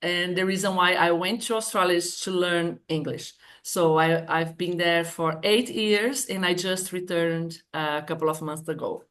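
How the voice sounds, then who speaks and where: A woman's voice: lowish voice, fairly bright tone. Brazilian woman in her fifties. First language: Portuguese. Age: 50s. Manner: hesitant, explains step by step. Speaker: guest. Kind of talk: casual online interview.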